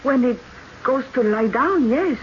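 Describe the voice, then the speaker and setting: High-voiced, hesitant Mexican wife. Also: female voice, expressive. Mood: hesitant, fearful. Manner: hesitant, halting speech. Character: frightened, submissive Mexican wife in old West. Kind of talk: radio drama acting. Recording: muffled old radio broadcast, faint noise.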